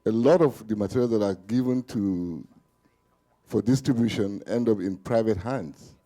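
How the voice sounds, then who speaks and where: A man's voice: lowish voice, normal speed, warm tone. A man in the audience asking a panel a serious, explanatory question about journalists and relief workers. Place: conference room.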